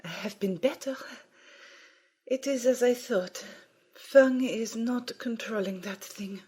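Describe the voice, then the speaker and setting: An actress playing a gravely wounded, stern sorceress in an audio drama, with a weak, whispery, urgent voice. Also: female voice, on the high side, slow pace, warm tone, exaggerated voice.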